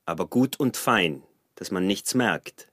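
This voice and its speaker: An actor with an Austrian accent playing a cunning, insistent peasant smuggler and husband in a radio drama. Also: male voice, deep voice, animated, a little slow, a bit bright, exaggerated voice. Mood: slyly polite. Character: sly scheming peasant smuggler, husband, early 1900s. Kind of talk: radio drama acting in dialect.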